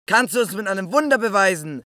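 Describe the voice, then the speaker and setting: Sharp, high skeptical leader. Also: male voice, lively intonation, fast talker, no echo, clean studio sound. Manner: incredulous, challenging. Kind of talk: audio drama scripture acting.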